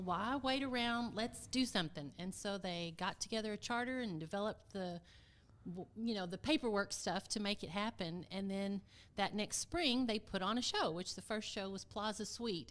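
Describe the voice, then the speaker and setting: A middle-aged woman from Texas speaks as a guest on a podcast. Her voice sits at a medium pitch, and she explains things in a casual, conversational storytelling way. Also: normal speed, fairly bright tone. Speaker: podcast guest, community theater vice president of production.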